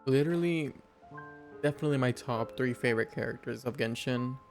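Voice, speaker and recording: A man's voice: mid-range voice, a little fast. A young man giving slangy, exclamatory, casual reactions as a game streamer. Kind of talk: casual gaming reaction commentary. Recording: game music behind the voice.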